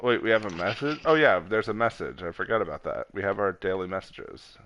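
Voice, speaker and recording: Gravelly, bright-voiced male game streamer. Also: on the low side, lively intonation, a little fast, muffled recording, slight background noise. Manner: joking, self-deprecating. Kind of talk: casual chat and hammy read-aloud narration of a game.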